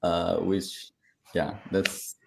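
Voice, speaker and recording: A man's voice: low voice, flat delivery, very slow, gravelly, slight background noise, rough recording. A man from Taiwan, an interview guest, telling his story in a rambling way with many fillers.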